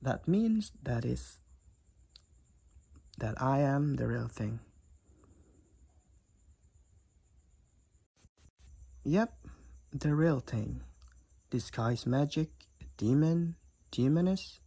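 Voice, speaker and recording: A woman's voice: extremely low pitch, animated, slow pace, pauses often, dark tone, heavily acted. A woman playing a cocky, teasing demoness college roommate and gamer in a roleplay audio drama, with a wry, theatrical delivery. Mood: smug, teasing. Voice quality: raspy, creaky. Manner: teasing, wry, theatrical, addresses the listener as Sugar.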